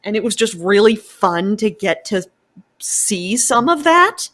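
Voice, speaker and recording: Woman guest, unhurried pace. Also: mid-range voice, sing-song, a bit bright. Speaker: guest fan writer. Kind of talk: enthusiastic discussion.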